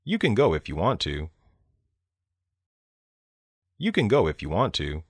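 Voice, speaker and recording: A man reading short example sentences carefully and clearly for a listening lesson, in a dry studio voice-over. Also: low-pitched, wildly swooping pitch, measured pace. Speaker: listening practice speaker. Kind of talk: slow read example sentences.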